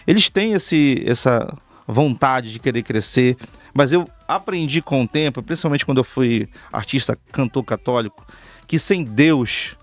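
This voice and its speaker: A man's voice: medium pitch, expressive, measured pace. A Brazilian man hosting an interview, talking earnestly in long flowing sentences in a casual chat. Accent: Brazilian Portuguese. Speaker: talk show host and artist manager.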